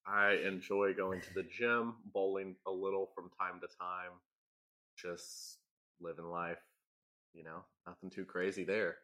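A man's voice: deep voice, level tone, unhurried, pushed and bright. A young man co-hosting a casual podcast, teasing and joking with his friend. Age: young adult. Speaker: podcast co-host. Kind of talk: casual chat.

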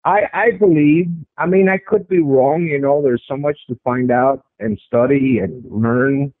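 A thin, phone-like line carries a man's strong, mid-pitched voice, close and dry. He talks as an interview guest, telling his own story conversationally. He repeats words and phrases to drive his points home. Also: measured pace, no room sound. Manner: emphatic, with repeated phrases for stress. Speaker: interview guest recounting a personal sighting. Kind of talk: conversational first-person storytelling. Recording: tinny phone-like radio line.

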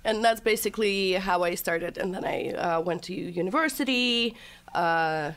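A woman's voice: medium pitch, moderate pace, fairly bright tone, clear, resonant tone. A young adult woman from Russia with a Russian accent, chatting as a podcast guest and telling her story with quoted dialogue. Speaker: guest, TikTok creator. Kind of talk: casual interview chat.

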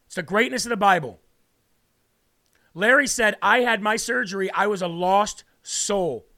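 A man with a raspy voice hosting a faith livestream, preaching and reading viewer comments aloud, often repeating phrases for emphasis. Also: high voice, normal speed, pushed and bright. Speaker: host of a faith livestream show.

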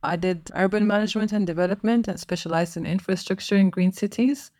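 A young woman from Sudan, a podcast guest explaining her moves abroad in a thoughtful, unhurried way. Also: medium pitch, a little mellow, dry recording, studio quality. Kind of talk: casual interview chat.

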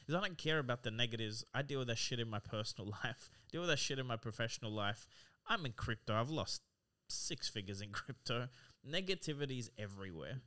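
A man's voice: on the low side, pushed and bright. A man co-hosting a podcast in casual chat, candid, laughing mid-sentence and swearing casually. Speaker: podcast co-host. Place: studio room, in person.

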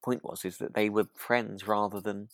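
Male co-host, low pitch, dry studio-like sound, thoughtful casual conversation. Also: steady tone, moderate pace, fairly bright tone, dry recording. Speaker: podcast co-host. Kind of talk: relaxed casual chat.

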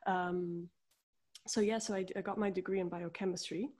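Woman, 30s, interview guest, raspy, friendly. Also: lowish voice, fairly even intonation, moderate pace, darkish tone. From Portugal. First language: Portuguese. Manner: friendly, thoughtful, with frequent restarts. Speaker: guest, research scientist. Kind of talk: casual interview chat.

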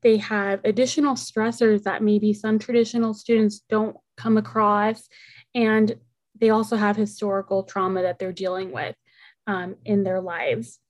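A young woman whose first language is Spanish, co-hosting a podcast in an earnest, measured way that slows down on key points. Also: mid-range voice, flat delivery. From Oceanside, California. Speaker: podcast co-host. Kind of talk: podcast discussion.

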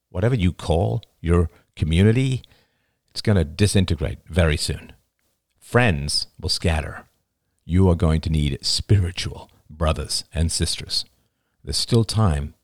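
A man's voice: sits low, no echo, clean studio sound, exaggerated voice. A man playing a grave, commanding male conference speaker with a solemn, urgent manner in an audiobook. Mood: grave, gentle. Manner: solemn, deliberate warnings, barking when roused.

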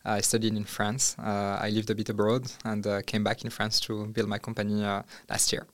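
A man's voice: lowish voice, flat delivery, moderate pace. A young man from Morocco, a startup founder answering interview questions with many fillers and restarts.

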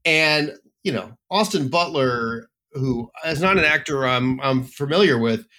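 A man's voice: mid-range voice, lively intonation, unhurried, pushed and bright. A man co-hosting a casual film review chat, giving blunt and emphatic opinions. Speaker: film critic co-host.

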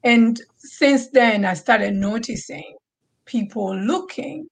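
A woman's voice: fairly high pitch, takes it slowly, gravelly, husky. A woman from Tanzania speaking as a panelist, talking conversationally with some hesitation. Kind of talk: panel discussion.

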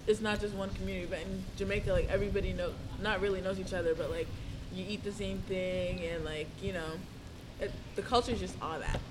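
A man's voice: sits high, fairly even intonation, fast, background noise. A young man as a student guest on a live podcast, talking in a hesitant, rambling way with lots of fillers. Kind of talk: casual live podcast chat.

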